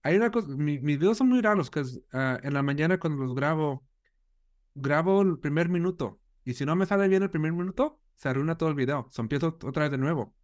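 Higher-voiced man. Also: lively intonation, brisk, a little mellow. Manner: mixes English filler words into Spanish. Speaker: guest video creator. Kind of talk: casual panel chat.

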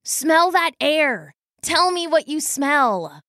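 Woman voicing a high, cheeky little weasel boy. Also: sing-song, takes it slowly, fairly bright tone, no room sound, studio quality, full character voice. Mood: coaxing. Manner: teasing, coaxing. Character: small, cheeky, earnest young weasel brother.

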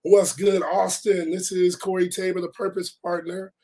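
A middle-aged man who hosts a motivational podcast, hoarse and raspy from a recent cold, reading his own reflective blog with emphatic repetition. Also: high-pitched, level tone, normal speed, warm tone, faint music behind. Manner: emphatic, repeats key phrases for effect. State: hoarse, recovering from losing his voice. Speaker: podcast host and life coach.

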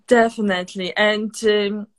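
A woman from Poland, a university teacher hosting an interview in measured, slightly formal phrasing. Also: mid-range voice, steady tone. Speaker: podcast host, university teacher. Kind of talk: interview conversation.

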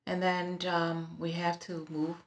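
A middle-aged woman with a Vietnamese accent telling her life story in an interview, halting with many fillers and restarts, recorded in an office with background noise. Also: lowish voice, flat delivery. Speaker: interviewee, realtor. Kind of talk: personal life story in conversation.

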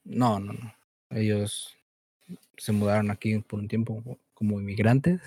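A man in his early twenties with a Mexican Spanish accent, born in the United States and raised in Mexico, chatting as a guest in a relaxed, hesitant, slangy way with lots of fillers. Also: on the low side, unhurried, husky, no room sound. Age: 20s. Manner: laid-back, hesitant, slangy, many fillers. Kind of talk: casual chat.

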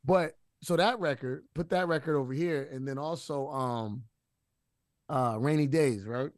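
Husky, loose-talking man. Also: medium pitch, a little slow, dry recording, studio quality. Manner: loose, profane, many restarts and fillers. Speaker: rapper guest. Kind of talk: casual interview talk.

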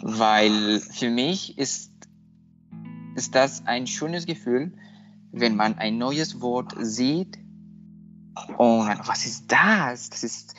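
A man with a Spanish accent talks slowly, pausing and restarting as he searches for words. The recording is dull and lo-fi, with faint guitar music underneath. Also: mid-range voice, lively intonation, fairly bright tone. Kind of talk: casual conversation.

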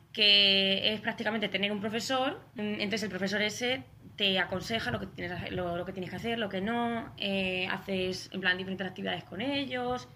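A woman's voice: medium pitch, sharp tone, slight background noise. A young woman from Spain with a Spanish accent, chatting as a podcast guest, full of fillers and restarts. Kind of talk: casual chat.

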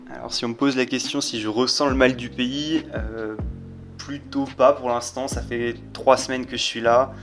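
Flat-voiced young Frenchman, measured and wry. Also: medium pitch, over music. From France. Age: young adult. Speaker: exchange student. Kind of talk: casual testimonial.

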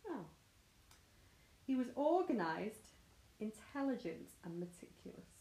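A woman's voice: mid-range voice, big pitch swings, unhurried, dark tone. British woman, young, chatty host who laughs often, recorded in a roomy space. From Britain. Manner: giggly, chatty, self-mocking. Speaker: podcast host. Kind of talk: casual true crime chat. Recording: echoey room.

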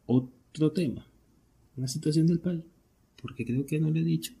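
Casting: male podcast host, Venezuelan accent, very dark relaxed tone with plenty of gravelly creak, conversational solo delivery. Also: a little high, moderate pace. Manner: conversational, asks and answers own questions. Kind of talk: casual solo podcast monologue.

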